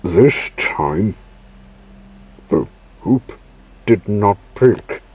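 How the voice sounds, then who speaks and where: A man with a low, rough-edged voice narrates a children's story. He goes extremely slowly, in halting little phrases. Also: lively intonation, darkish tone. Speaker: storyteller reading a children's book aloud. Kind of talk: slow read-aloud children's story narration.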